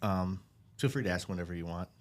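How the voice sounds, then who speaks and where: A middle-aged man hosting a casual interview podcast in a small studio, relaxed and chatty. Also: low-pitched, fast. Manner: relaxed, chatty, laughs easily. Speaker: podcast host. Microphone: studio mic on boom arm, headphones.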